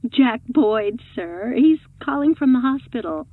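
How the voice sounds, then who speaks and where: A woman's voice: on the high side, lively intonation, unhurried, no room sound. A woman playing a polite, formal secretary in a video game, heard through an intercom filter. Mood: polite, apologetic. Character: polite female secretary announcing a caller. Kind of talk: dramatic video game voice acting. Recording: thin, phone-like intercom line.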